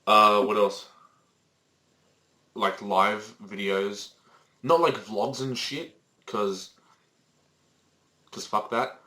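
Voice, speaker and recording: Teen male co-host, low-ish voice, rambling, sweary, fillers. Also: fairly even intonation, drawn-out words, long pauses, bright, forceful tone. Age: teens. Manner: swears freely, rambling with many fillers and restarts. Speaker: podcast co-host. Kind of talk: casual chat. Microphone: Skype call recording.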